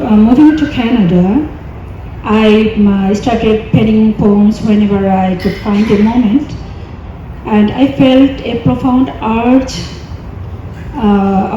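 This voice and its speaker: Slow-spoken woman in an echoey hall. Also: medium pitch, mellow, slight background noise. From Bangladesh. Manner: hesitant, many fillers. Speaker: poet, panel guest. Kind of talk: panel discussion answer. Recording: echoey hall, dull lo-fi sound.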